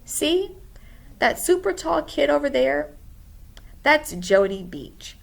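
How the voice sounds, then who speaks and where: Gawky storybook schoolboy, played by a woman: eager, friendly, chatty, somewhat raised pitch, unhurried. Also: sing-song, gravelly, full character voice. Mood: eager, confiding. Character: gawky, friendly, odd schoolboy who loves ballet. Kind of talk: storybook character voice.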